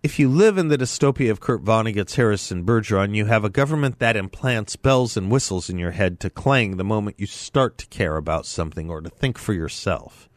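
A man hosting a talk radio show, delivering a measured opinion monologue with a slightly nasal voice from a mild head cold. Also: lowish voice, lively intonation, no room sound, studio quality. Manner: measured, deliberate, lecturing. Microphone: studio microphone.

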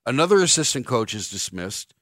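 A man hosts sports talk radio, his delivery blunt and sarcastic. His pitch swings widely as he rants. The studio mic sounds close and dry. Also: big pitch swings, brisk, a bit bright, dry recording. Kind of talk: opinionated sports radio monologue. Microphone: studio microphone.

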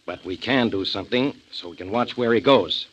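An actor playing a brisk, commanding, self-satisfied police inspector in a 1940s radio crime drama. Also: male voice, lowish voice, lightly acted. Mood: brisk, explaining. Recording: muffled, noisy 1940s radio recording.

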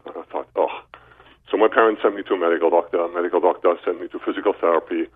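A man's voice: deep voice, steady tone, very fast, husky. A man in his fifties with a German accent, telling his own story casually as an interview guest over a phone line. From Berlin, Germany. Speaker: guest, chiropractor. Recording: narrow muffled telephone line.

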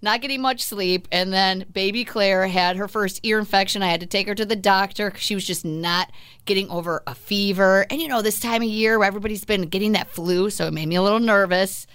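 A woman in her thirties co-hosting a chat, her voice low and raspy from a cold, chatty and sympathetic. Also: brisk, fairly bright tone, dry recording. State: hoarse from a cold. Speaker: podcast co-host. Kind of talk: casual chat.

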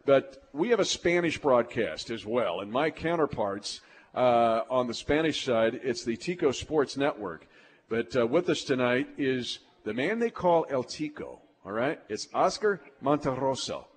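A man's voice: medium pitch, expressive, unhurried. An American man hosting a live sports talk show with an emphatic, folksy announcer delivery.

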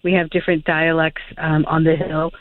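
A woman's voice: low-pitched, little pitch movement, moderate pace, no room sound. A woman author talking as a radio guest over the phone, hesitant, with many fillers and restarts. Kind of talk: phone interview chat. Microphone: telephone. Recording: tinny phone line.

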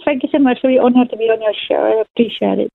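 A woman's voice: a little high, very fast, vocal fry, background noise. A woman from South Africa whose first language is Afrikaans, speaking earnestly as a guest author with many fillers. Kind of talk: phone interview. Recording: tinny phone line, dry.

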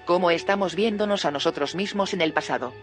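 A woman's voice: on the low side, fast, faint music behind, close to an everyday voice. An actress playing a curious female scientist in an audio drama. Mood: baffled.

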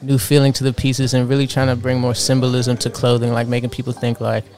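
A man in his twenties, a fashion designer, answering interview questions in a relaxed, earnest way with lots of fillers. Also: medium pitch, fast talker, faint music behind. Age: 20s.

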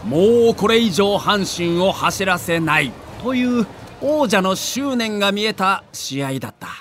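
A man's voice: sits high, animated, measured pace, fairly bright tone, a little hiss or hum. A man narrating a sports documentary in a theatrical, emphatic storytelling manner.

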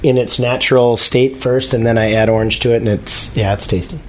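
A man's voice: medium pitch, fairly even intonation, brisk, a little hiss or hum, rough recording. A man from Minnesota, a guest on a casual podcast, easygoing with a dry sense of humor. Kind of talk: casual chat.

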